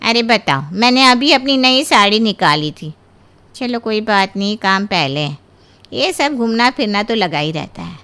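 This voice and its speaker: A woman voicing a demanding, bossy mother-in-law in a cartoon story, scolding briskly with exaggerated indignation. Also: mid-range voice, expressive, pushed and bright, clear, resonant tone. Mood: resigned.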